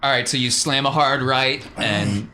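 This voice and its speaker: A man's voice: mid-range voice, measured pace, crowd noise. A man narrating a tabletop game as game master, casually and with playful, informal descriptions.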